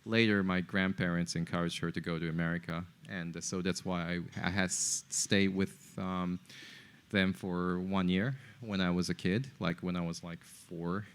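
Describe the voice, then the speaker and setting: A middle-aged man whose first language is Japanese, a podcast guest talking in a halting, casual way with many fillers and restarts. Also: deep voice, steady tone, smooth. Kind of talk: casual interview talk.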